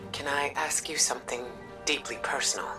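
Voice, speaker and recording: An actress plays a composed, earnest woman scholar with authority in a game cutscene. Her voice is extremely low and her delivery formal and measured. She sounds a bit off the mic, over prominent music. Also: far from the mic, natural voice, low-quality recording. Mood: solemn, probing. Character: grave, commanding, composed female mystic scholar. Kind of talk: video game cutscene acting.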